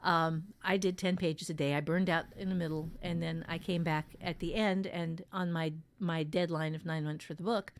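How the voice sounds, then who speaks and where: A woman's voice: lowish voice, steady tone, normal speed, few pauses, slight background noise. A woman co-hosting a writing podcast, chatting casually and telling anecdotes in a blunt, emphatic way. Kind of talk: casual chat with personal anecdotes.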